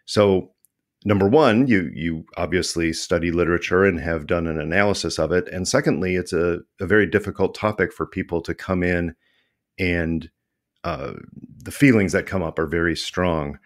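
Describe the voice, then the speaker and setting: Male podcast host, very deep voice, eager and chatty. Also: normal speed. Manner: enthusiastic, self-deprecating, some restarts. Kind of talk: conversational interview.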